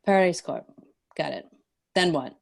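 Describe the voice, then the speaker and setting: Woman acting a low-voiced, dry bounty cop. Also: normal speed, vocal fry, no echo. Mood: dutiful. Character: dry, capable, self-assured female bounty cop. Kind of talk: screenplay table read acting.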